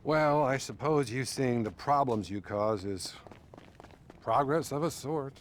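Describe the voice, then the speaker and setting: An actor playing a patronizing male therapist, measured and placating, in a naturalistic video game style. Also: mid-range voice, a little hiss or hum, close to an everyday voice. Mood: condescending.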